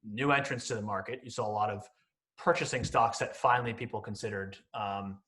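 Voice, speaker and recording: Male interview guest, late 30s, bright voice, explains conversationally and hedges as he goes. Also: lowish voice, fairly even intonation, fairly quick. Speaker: podcast guest, company CEO.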